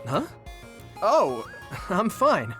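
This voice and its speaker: Earnest young rookie, animated pitch. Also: male voice, extremely slow, exaggerated voice. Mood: awkward, polite. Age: young adult. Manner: hesitant, polite. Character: earnest, modest young male rookie soldier. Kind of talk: anime game voice acting. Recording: music behind.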